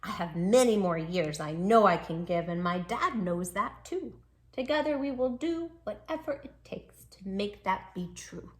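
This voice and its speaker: A woman's voice: medium pitch, expressive, measured pace, natural voice. A woman voicing a sweet, plucky little male dachshund who tells his own storybook tale with earnest, dramatic emphasis. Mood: plucky, reassuring. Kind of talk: children's storybook read-aloud.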